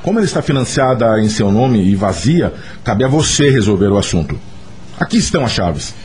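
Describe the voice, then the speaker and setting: An actor playing a cold, protective brother-in-law in a radio drama, formal, curt and businesslike. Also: male voice, fairly low pitch, sing-song, brisk, darkish tone, slight background noise.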